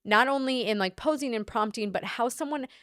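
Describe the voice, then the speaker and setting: A woman's voice: fairly high pitch, a little fast, fairly bright tone, no room sound, studio quality. A woman wedding photographer co-hosting a casual podcast, talking bluntly and swearing freely as she gives her opinions.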